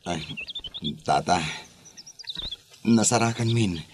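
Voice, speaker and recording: A man's voice: on the low side, slow pace, darkish tone, slight background noise. A man playing a respectful, earnest younger man bearing sad news in an Ilocano radio drama, with an Ilocano Filipino accent. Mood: regretful.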